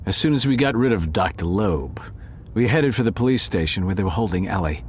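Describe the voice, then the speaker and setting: Low-voiced wry noir cat narrator, played by a man. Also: expressive, brisk, a little hiss or hum, close to an everyday voice. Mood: matter-of-fact. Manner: wry, world-weary, theatrical. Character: wry male cat detective narrator, film-noir wisecracker. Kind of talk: first-person noir audio drama narration. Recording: studio drama over loud music bed.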